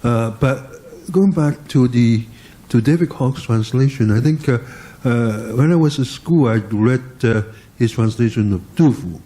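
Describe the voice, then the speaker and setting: An older man in the audience asking a long question, halting often as he searches for words. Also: medium pitch, dark tone. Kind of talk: question from the floor.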